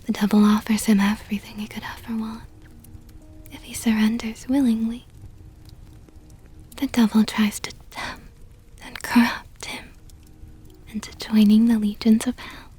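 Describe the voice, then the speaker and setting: A woman plays a seductive vampire countess in an ASMR roleplay, very breathy and whispery. She speaks slowly and softly, with a dark, velvety tone and a possessive, teasing tenderness, close to the mic. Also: a little high, little pitch movement, soft background music. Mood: hushed, intrigued. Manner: slow, teasing, condescendingly tender. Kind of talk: ASMR roleplay, intimate monologue. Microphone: close microphone.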